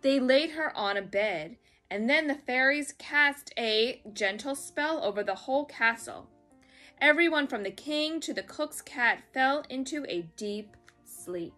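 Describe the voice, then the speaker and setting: A woman storyteller reading a children's story aloud in a dramatic, expressive way. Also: sits high, a little slow, soft background music.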